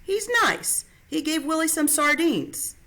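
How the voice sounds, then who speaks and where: A woman voicing an earnest young girl in a storybook reading, high and pleading. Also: very animated, a little slow, pushed and bright, exaggerated voice.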